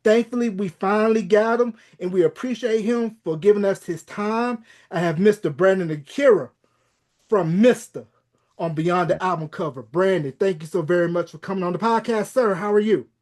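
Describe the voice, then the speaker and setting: A man with an American accent and a high-pitched voice hosts a podcast interview. His phrasing is presentational, like an announcer introducing a show and its guest. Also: normal speed, a little mellow.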